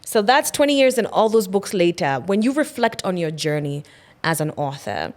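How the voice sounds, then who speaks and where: A woman's voice: on the low side, big pitch swings, moderate pace. Affirming woman podcast host. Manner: affirming, encouraging listener. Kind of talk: podcast interview.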